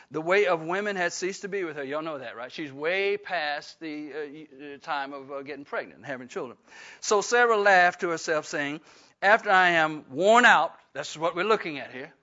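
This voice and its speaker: Older preacher man, folksy and digressive, lots of uhs, kinda bright and forceful. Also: fairly high pitch, animated, moderate pace. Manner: folksy, digressive, frequent fillers and restarts. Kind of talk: Bible-study sermon. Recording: dull lo-fi recording.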